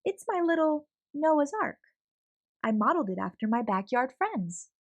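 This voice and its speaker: A cheerful storybook aunt speaks brightly and eagerly, as a woman puts her on. Her voice rides high and swoops lively through each line. The sound is close and dry. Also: a little slow, dry recording, muffled recording, exaggerated voice. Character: cheerful adult aunt, a photography teacher.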